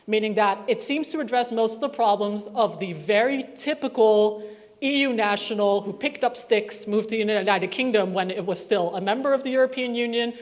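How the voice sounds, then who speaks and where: A woman researcher presenting a report at a conference, conversational and wry with asides. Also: mid-range voice. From not from Northern Ireland. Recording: reverberant hall sound.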